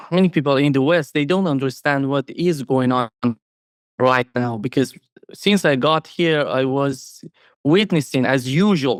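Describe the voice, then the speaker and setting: A man's voice: fairly high pitch, no echo, studio quality. A man from Iran, a guest on a video call interview, speaking casually with many fillers and restarts. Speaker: host of another channel, guest.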